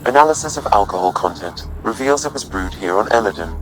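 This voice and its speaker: A man voicing a calm, helpful artificial intelligence companion in a video game, speaking in a measured, precise and formal way. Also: lowish voice, noisy background, lightly acted. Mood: dry, informative.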